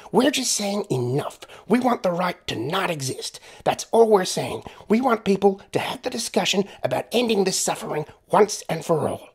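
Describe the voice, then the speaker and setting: A man's voice: high-pitched, husky, pitched above the performer's own voice, exaggerated voice. A man voicing a cheerful, amiable American woman earnestly spreading her creed in an audiobook. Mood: earnest, insistent. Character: cheerful, unflappable short stout American woman, zealous doorstep evangelist. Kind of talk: audiobook character voice.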